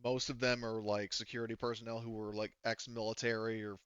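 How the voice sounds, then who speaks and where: A man's voice: on the low side, level tone, fairly quick, fairly bright tone, clean tone, dry recording, highs cut off. A man running a tabletop roleplaying game as game master, casually explaining rolls and rules with some swearing.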